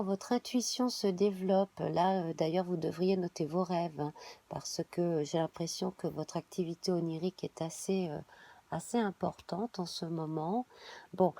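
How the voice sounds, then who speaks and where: A woman, reading a monthly tarot spread while she has a cold, halting and filling pauses. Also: fairly low pitch, dark tone. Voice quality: hesitant, many fillers and restarts. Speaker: tarot reader.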